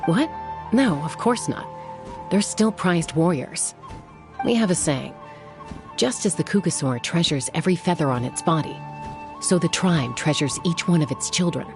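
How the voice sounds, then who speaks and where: A composed female warrior in a video game. She explains things in a measured, matter-of-fact manner, protective yet frank. Faint music runs underneath. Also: lowish voice, lively intonation. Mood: reassuring. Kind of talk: video game dialogue acting.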